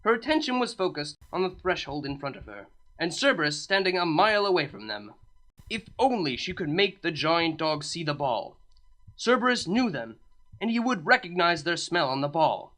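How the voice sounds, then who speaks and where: A woman reading comedic fan fiction aloud in an expressive storybook narration. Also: on the low side, normal speed, low-quality recording.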